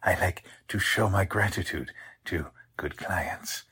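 An actor playing a defensive, flustered small male doctor in a 1940s-style radio mystery, husky and halting. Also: deep voice, sharp tone, full character voice. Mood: smoothly evasive. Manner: halting, indignant, slightly stammering. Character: small suspect male doctor, stage mind reader, flustered.

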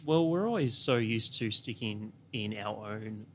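A man's voice: fairly low pitch, lively intonation, unhurried, fairly bright tone, a little hiss or hum. A man co-hosting a casual podcast, often restarting and repeating words. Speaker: podcast co-host. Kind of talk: casual chat.

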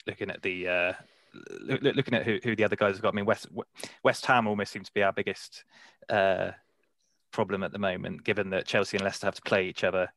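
A man's voice: deep voice, steady tone, quick pace, vocal fry, fairly bright tone, no echo. A man co-hosting a podcast in casual chat, eager and hesitant, often repeating words. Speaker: podcast co-host.

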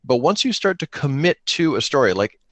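A man with a mid-pitched voice talks casually in a dry, close recording. He explains things in an animated, conversational way, doubling up words and phrases to drive his points home. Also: moderate pace, no room sound. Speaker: podcaster and solo tabletop role-player. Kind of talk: casual chat about tabletop gaming.

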